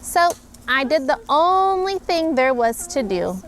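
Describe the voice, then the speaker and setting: Mom reading a picture book to her kids, high voice, really bouncy and expressive. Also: takes it slowly, slight background noise. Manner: animated, expressive reading with playful emphasis. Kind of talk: storybook read-aloud.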